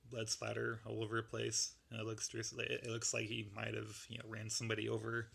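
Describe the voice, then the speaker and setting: A man with a gravelly voice that is going, casually rambling through a show-and-tell of a model build. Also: fairly low pitch, completely flat delivery, fairly quick, fairly bright tone. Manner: rambling, laid-back, lots of fillers. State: losing his voice.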